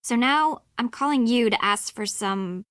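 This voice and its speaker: A woman's voice: fairly high pitch, a bit bright, smooth, no echo, clean studio sound. A woman voicing a snooty, exasperated young rival in a video game, with petulant, slangy, dramatic delivery. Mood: grudging pleading. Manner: petulant, slangy, drawn-out emphasis.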